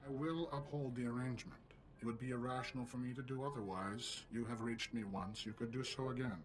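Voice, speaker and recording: A man voicing a coldly rational, knowledge-hungry intelligence in a video game, speaking formally and deliberately. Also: medium pitch, fairly even intonation, a crowd in the background, lightly acted, poor audio. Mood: cold, insistent.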